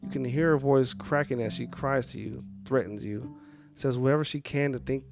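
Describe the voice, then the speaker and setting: A man's voice: medium pitch, normal speed, gravelly. Male game streamer narrating over music. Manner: chatty asides to viewers. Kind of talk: live-stream read-aloud narration with casual chat.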